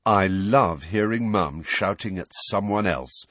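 A man's voice: fairly low pitch, measured pace, a bit bright, no echo, clean studio sound. Man voicing a wry, teasing teenage twin. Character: mischievous teenage boy, a twin.

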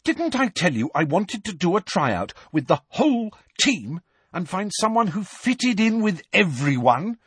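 A man voicing a fierce, bossy young woman who captains a sports team, snarling and demanding in a raised, higher voice. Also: very animated, measured pace, slightly rough, no echo, full character voice. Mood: furious.

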